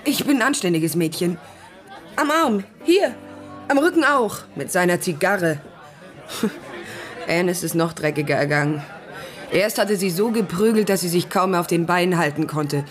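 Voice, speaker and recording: An actress playing a bitter, frightened young prostitute in a radio drama, coarse and aggrieved. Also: female voice, fairly low pitch, animated, moderate pace, long pauses, soft background music, some chatter behind, natural voice. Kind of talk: radio play acting.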